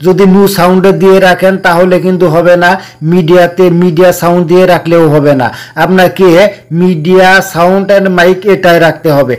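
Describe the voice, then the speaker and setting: A man's voice: sits high, level tone, brisk, clear, resonant tone. A man hosting a tech tutorial, explaining things casually and repeating key points. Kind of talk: casual phone tutorial narration.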